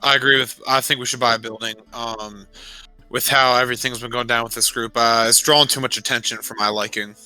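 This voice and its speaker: Male tabletop player, crisp and very forward bright voice, casual conversational delivery. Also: mid-range voice, fairly even intonation, a little fast, soft background music, rough recording. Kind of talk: casual in-game discussion.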